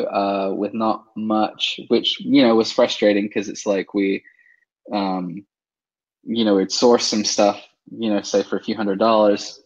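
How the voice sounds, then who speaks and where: A man from England, a guest in a casual interview, talking with many fillers and soft laughs. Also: lowish voice, dull audio. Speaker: interview guest, online seller.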